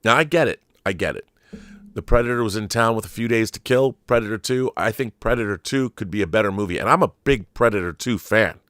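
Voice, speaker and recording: A man's voice: lowish voice, a little fast, a bit bright, no echo, studio quality. A middle-aged man hosting an unscripted film commentary show, talking in an emphatic, digressive, opinionated fan style.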